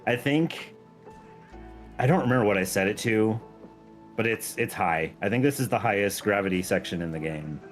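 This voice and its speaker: Low-voiced man chatting over a game. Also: lively intonation, normal speed, soft background music. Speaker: game mod creator guesting on a stream. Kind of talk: casual gaming stream chat.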